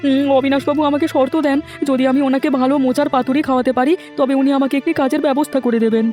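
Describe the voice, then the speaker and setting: A man puts on a meek young village husband for a fairy tale cartoon. His voice is pitched high, and he talks quickly yet hesitantly, with guilty deference. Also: level tone, a little mellow, faint music behind.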